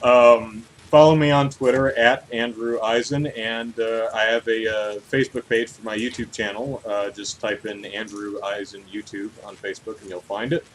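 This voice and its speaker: A man co-hosting a casual livestreamed podcast about video game news, talking in an animated, jokey way. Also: on the low side, normal speed, hardly any pauses, slight background noise, rough recording. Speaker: podcast co-host. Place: hot room at home, on a livestream.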